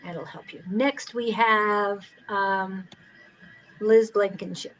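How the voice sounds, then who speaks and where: A woman's voice: mid-range voice, steady tone, slow pace, slight background noise, rough recording. A woman co-hosting a live psychic reading stream, calling names and keeping order. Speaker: co-host.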